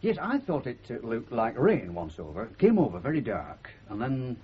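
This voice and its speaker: Blustering working-class Northern English father, affronted and rambling, very animated pitch, dulled 1970s radio comedy sound. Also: normal speed. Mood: flustered rambling. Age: middle-aged. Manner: exclamatory, rambling, homely dialect delivery. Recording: muffled, slightly hissy 1970s radio recording.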